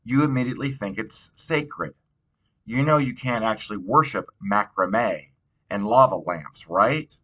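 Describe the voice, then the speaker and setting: A man reading a novel aloud in an expressive storytelling style. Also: fairly low pitch, measured pace, low-quality recording. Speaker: audiobook reader.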